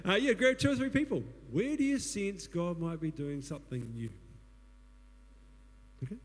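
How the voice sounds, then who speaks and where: A man with a New Zealand accent and a booming voice preaching a sermon, putting earnest questions to the congregation. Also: animated, normal speed. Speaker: guest preacher. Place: church hall. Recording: long hall reverb.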